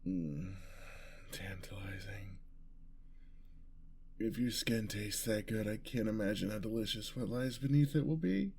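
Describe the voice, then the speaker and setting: Man acting a slow, menacing bloodthirsty vampire. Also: lowish voice, a little mellow, a little hiss or hum. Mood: hungry, seductive. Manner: slow, savouring, breathy menace. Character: bloodthirsty male vampire, menacing, seductive, theatrical. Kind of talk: ASMR fantasy roleplay.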